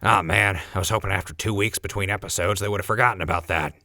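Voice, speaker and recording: A laid-back, wisecracking wasteland drifter with a low, gravelly voice. The tone is very bright and forward, and he has a wry, joking way of talking. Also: fast, a little hiss or hum. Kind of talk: radio drama acting.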